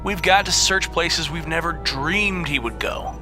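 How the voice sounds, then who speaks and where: An actor voicing a sarcastic, irritable talking bird in an audio drama, with snide, insulting delivery. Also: male voice, on the high side, measured pace, over music, lightly acted. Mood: snarky. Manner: snarky, insulting.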